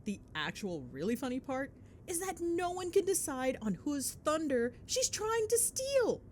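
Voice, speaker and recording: A woman's voice: sits high, sing-song, a little slow, darkish tone, a little hiss or hum. A woman playing a chatty, gossipy girlfriend in a casual ASMR phone-call roleplay, with playful, sweary, dramatic griping. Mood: amused gossip. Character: chatty, gossipy girlfriend venting on the drive home.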